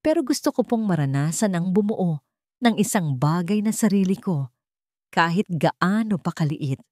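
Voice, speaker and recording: A woman's voice: fairly low pitch, expressive, normal speed, darkish tone, dry recording, clean studio sound. A woman with a Filipino accent voicing a loving, idealistic young daughter in an audio drama, earnest and tender.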